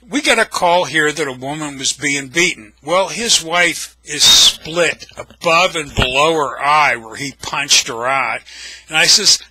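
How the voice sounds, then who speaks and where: A man's voice: on the high side, pushed and bright, breathy edge, dry recording, poor audio. An older man with an American accent, telling anecdotes casually in a blunt, wry, salty way. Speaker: storyteller, video blogger.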